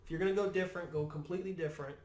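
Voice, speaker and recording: A man's voice: on the high side, fairly even intonation, racing, darkish tone. A man chatting casually and giving opinions as a podcast guest, emphatic and often repeating his words for effect. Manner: emphatic, repeats words, mimics imagined remarks. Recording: roomy, echoing room.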